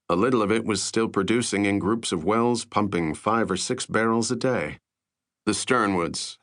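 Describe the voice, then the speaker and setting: A man with a very low voice narrates literary fiction for an audiobook. He reads scripted noir prose in the manner of a book narrator. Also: fairly bright tone.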